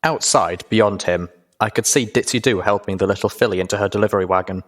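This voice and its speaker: Male audiobook narrator with a lowish voice for first-person fiction. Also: quick pace.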